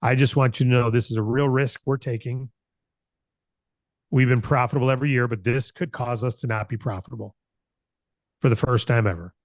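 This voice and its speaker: A man's voice: mid-range voice, fairly even intonation, a little fast, long pauses, dry recording, clean studio sound. An American man in his late fifties giving an informal motivational business talk, earnest and conversational, repeating phrases for emphasis. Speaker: company founder hosting a broker announcement meeting.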